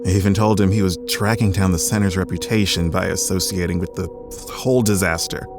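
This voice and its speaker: An actor playing a gruff, stubborn paleontologist who speaks wearily and sardonically, quickening when excited. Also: male voice, sits low, music in the background. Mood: bitter, regretful. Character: gruff middle-aged male paleontologist, bitter and stubborn. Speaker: doctor running a research center. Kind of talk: audio drama acting.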